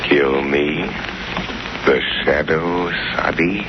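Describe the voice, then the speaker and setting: An actor playing a mysterious invisible avenger in a 1930s radio drama, slow, taunting and sardonically courteous in a theatrical way. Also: male voice, rumbling low, steady tone, exaggerated voice. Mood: mocking. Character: mysterious invisible male avenger, mocking and menacing. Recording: muffled, very noisy 1930s radio recording.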